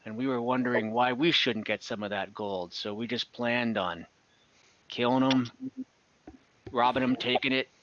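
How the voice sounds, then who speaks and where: A man's voice: mid-range voice, steady tone, normal speed, a bit bright, lo-fi sound, a little hiss or hum, lightly acted. A man voicing a proud, blunt bandit prisoner in a tabletop game, speaking in plain declarations. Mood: proud, frank.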